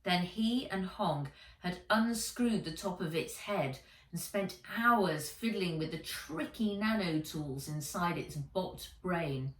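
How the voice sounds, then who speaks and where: Slow, low-pitched woman reading a story, roomy. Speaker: author reading own book aloud. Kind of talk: children's audiobook narration. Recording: roomy echo, distant from the mic.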